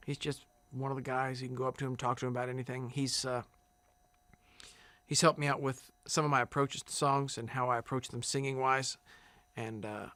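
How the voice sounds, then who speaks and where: Man, middle pitch, casual interview answers, rambling with restarts, dry close recording. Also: dry recording. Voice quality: big loud singing voice. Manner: relaxed, rambling, many fillers and restarts. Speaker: singing contestant.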